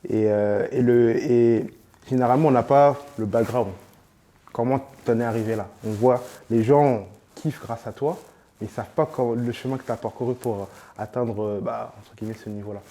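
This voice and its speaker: A man's voice: medium pitch, fairly even intonation, moderate pace, warm tone. A man hosting a casual interview, asking questions in an informal, slangy way with many fillers. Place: on location in a sports venue.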